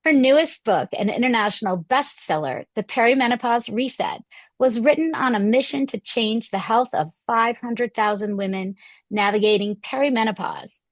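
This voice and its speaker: A woman in her fifties hosting a podcast interview, her voice hoarse, chatty and upbeat with occasional fillers. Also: on the high side, moderate pace, no echo, poor audio. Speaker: podcast host.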